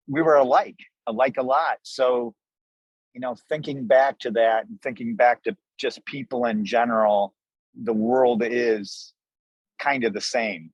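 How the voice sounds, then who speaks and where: A man's voice: mid-range voice, breathy edge, dry recording, low-quality recording. An American man in his sixties telling stories casually as an interview guest, folksy and conversational. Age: 60s. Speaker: podcast guest, restaurant co-owner.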